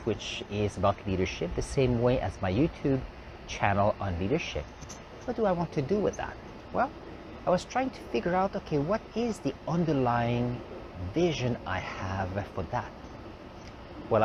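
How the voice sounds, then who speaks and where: A man's voice: mid-range voice, background noise. Man thinking aloud. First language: French. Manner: reflective, thinking aloud with fillers and restarts. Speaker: host. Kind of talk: live stream talk.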